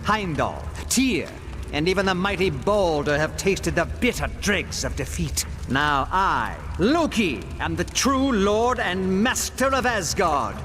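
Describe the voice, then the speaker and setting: A man's voice: high voice, very animated, slow, a bit bright, faint music behind, heavily acted. A man playing a gloating, vain trickster god in a video game, with theatrical, archaic and haughty diction. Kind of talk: video game villain acting.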